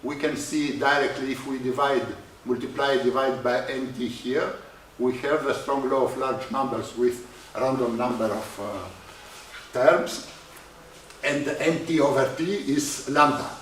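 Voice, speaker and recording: A man with a Greek accent giving a university lecture on probability, halting often with "uh" in non-native English. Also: medium pitch, a bit bright, slight background noise. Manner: halting, many uh fillers, non-native phrasing. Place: lecture hall. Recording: echoey lecture hall from far off.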